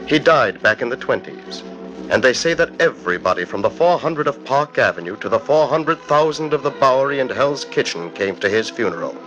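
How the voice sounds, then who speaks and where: A man narrating a 1940s radio mystery in a theatrical, deliberate storytelling manner. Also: mid-range voice, lively intonation, fairly bright tone, muffled recording, soft background music. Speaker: narrator, host. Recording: old 1940s radio broadcast.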